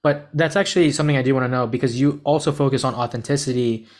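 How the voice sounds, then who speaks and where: A man's voice: mid-range voice, steady tone, fast, a little mellow. A male host asks his guest long, winding questions in a medium voice, stopping and rephrasing before he lands on the point. The delivery is casual and conversational. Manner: rambling, restarts questions. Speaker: podcast host.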